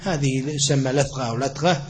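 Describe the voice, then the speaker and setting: A man's voice: medium pitch, quick pace. A man giving an explanatory religious lecture on a classical legal text, repeating phrases for emphasis as he teaches. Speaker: religious teacher of Islamic law.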